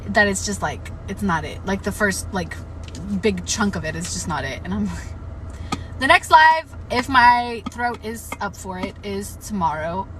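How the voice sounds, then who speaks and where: A young woman chatting casually on a live stream about books, frank and swearing freely, her voice a bit hoarse from overuse. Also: medium pitch, expressive, a little slow, a bit bright, a little hiss or hum, poor audio. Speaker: book livestreamer.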